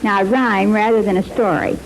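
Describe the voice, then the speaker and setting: A female contestant on an old-time radio quiz panel. Her voice sits at an average pitch as she puts questions to the host. The vintage recording has clear noise. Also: steady tone, fairly quick, rough recording.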